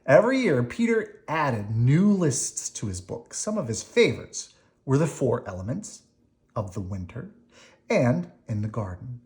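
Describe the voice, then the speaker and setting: A person reading a children's picture book aloud expressively, with dramatic emphasis, pauses and brief explanatory asides. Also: mid-range voice, sing-song, darkish tone. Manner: dramatic emphasis, pausing between phrases.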